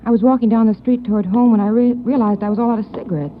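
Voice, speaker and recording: A woman's voice: on the high side, fairly even intonation, a little hiss or hum, lightly acted. A woman playing a nervous, stammering witness. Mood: shaken. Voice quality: halting, stammering. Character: shaken female witness, nervous young woman. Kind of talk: 1940s radio drama acting. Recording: thin phone-like 1940s radio.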